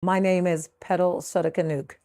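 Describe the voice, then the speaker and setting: Mellow, low-voiced sanctuary founder. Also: female voice, level tone, normal speed, no room sound, clean studio sound. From Trinidad and Tobago. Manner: earnest, reflective, with frequent fillers. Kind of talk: documentary interview.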